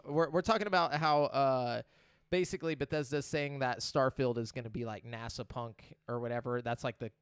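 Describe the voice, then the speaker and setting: A young man chats loosely on a live gaming podcast, full of fillers and restarts. His voice is smooth and resonant, recorded dry and close. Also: medium pitch, no echo. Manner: loose, rambling, lots of fillers. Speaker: podcast host. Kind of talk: casual chat on a live stream.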